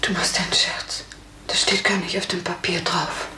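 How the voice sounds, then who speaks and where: A woman's voice: lowish voice, little pitch movement, moderate pace, sharp tone, in a whisper. An actress playing a fragile, fearful serf woman who once danced, earnest and hesitant, in an old radio play. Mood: disbelieving. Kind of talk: 1950s German radio play acting. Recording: echoey, hissy 1950s radio recording.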